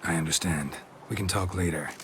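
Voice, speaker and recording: An actor playing a stoic, gruff monster hunter in a video game, with a clipped, deadpan delivery in a gravelly growl. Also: male voice, low voice, brisk, background noise, lightly acted. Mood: businesslike. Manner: clipped, deadpan, drops subjects from sentences. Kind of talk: video game cutscene acting.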